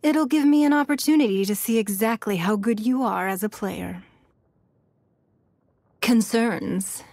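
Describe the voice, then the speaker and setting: A woman's voice: mid-range voice, a little slow, darkish tone, dry recording, studio quality, close to an everyday voice. An actress playing a poised, shrewd and wealthy woman leader in a video game, with a composed, refined and authoritative manner. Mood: cool, measured. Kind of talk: video game character voice lines.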